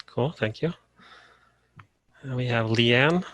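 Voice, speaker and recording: A man from Colorado leading a casual online class over a video call, relaxed and full of "uh" fillers. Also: medium pitch, slow pace. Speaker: course instructor.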